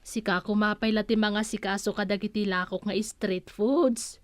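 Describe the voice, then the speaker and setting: A woman playing a gossipy, motherly storekeeper in a comedy radio drama, chatty, with a drawn-out teasing delivery. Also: medium pitch, fairly even intonation, dry recording, natural voice. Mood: bossy.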